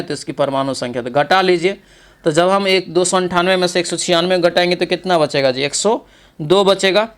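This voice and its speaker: Man with a smooth, clear voice. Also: sits high. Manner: reads each question, then repeats answers with "ठीक है". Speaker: exam coaching teacher. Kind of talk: exam practice lesson.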